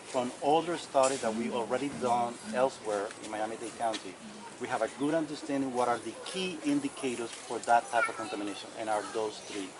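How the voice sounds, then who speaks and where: A soft-voiced man who struggles to project, giving a measured, formal technical explanation as a county official at a public meeting. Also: mid-range voice. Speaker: county environmental official answering questions. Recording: noisy public meeting, loud ambient noise.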